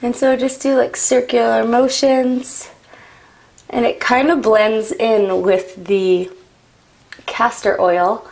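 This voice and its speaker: A young woman with a raspy voice, chatting casually while doing her makeup, rambling with lots of "like" and "um". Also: on the high side, level tone, takes it slowly, a little mellow. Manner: rambling, frequent "like" and "um". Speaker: beauty vlogger. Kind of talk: chatty get-ready-with-me talk.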